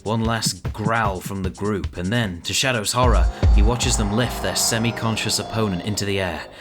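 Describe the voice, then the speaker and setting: A man's voice: on the low side, normal speed, over loud music. A man narrating an audio drama in a dramatic, scripted storytelling style.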